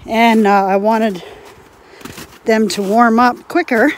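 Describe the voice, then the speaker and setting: A woman's voice: on the high side, steady tone, takes it slowly. A woman in her forties chatting casually while filming her homestead on a handheld phone, rambling and giggling often. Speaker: homestead vlogger. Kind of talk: casual handheld vlog chat. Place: outdoors in a windy snowy yard. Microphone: phone camera held at arm's length. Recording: noisy outdoor phone audio with wind.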